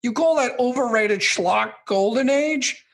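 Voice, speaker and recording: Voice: medium pitch, a little slow, vocal fry, a bit bright. An actor playing a cranky, dismissive film critic in their sixties in a scripted comedy radio skit. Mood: indignant. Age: 60s. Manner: grumbling, dismissive, combative. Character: cranky opinionated 68-year-old film critic, a baby boomer.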